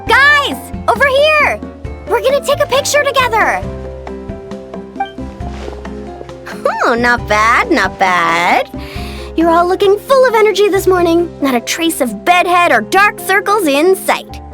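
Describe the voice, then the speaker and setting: Caring young girl tour guide for a game: bubbly, breezy, very high and animated. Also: measured pace, faint music behind, heavily acted. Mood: cheerful, energetic. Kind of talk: video game character acting.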